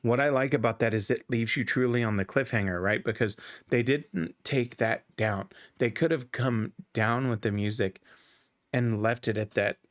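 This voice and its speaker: A man hosting a music reaction video, talking through what he hears in a relaxed, thinking-aloud way with frequent restarts. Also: mid-range voice, moderate pace. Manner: thoughtful, restarts often, says "right?". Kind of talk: casual reaction commentary.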